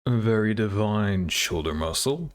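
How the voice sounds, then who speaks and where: Slow, low-voiced man reacting casually. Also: expressive. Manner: laid-back, musing. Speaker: gaming video creator. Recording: music behind the voice. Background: game trailer music.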